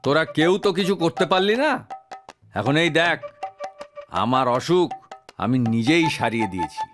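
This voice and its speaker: A man's voice: a little high, animated, normal speed, music in the background, natural voice. A man playing a pampered, imperious king in an audio story, grumbling and commanding. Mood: imperious scolding. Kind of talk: Bengali audio story acting.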